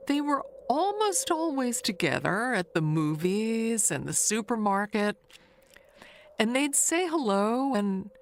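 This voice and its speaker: A woman playing a chatty, nosy older neighbor in an audio mystery monologue, gossiping in a confiding, halting way with sly wistfulness. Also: mid-range voice, vocal fry, a little hiss or hum, heavily acted.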